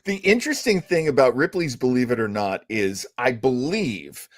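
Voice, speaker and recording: Man, radio host, casual rambling chat, halting delivery, animated intonation, dry close sound. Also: mid-range voice, no echo. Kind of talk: casual chat introducing old radio shows.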